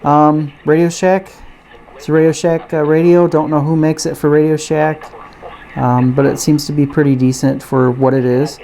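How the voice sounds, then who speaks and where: A man giving a casual, unscripted product review and demonstration in a halting, hesitant way. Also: on the high side, little pitch movement, vocal fry, mellow, slight background noise, low-quality recording. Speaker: gadget reviewer.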